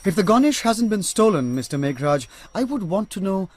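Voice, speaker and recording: Composed Indian detective, lightish voice. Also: male voice, high-pitched, big pitch swings, soft background music. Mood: guarded, dry. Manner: measured, polite, dry. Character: composed, courteous, shrewd male private detective. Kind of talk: radio drama acting.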